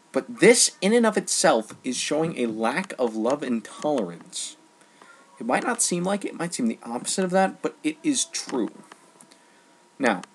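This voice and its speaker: Lower-pitched man on an emphatic rant. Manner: emphatic, halting delivery with repeated phrases. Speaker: online ranter. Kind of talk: informal opinion rant.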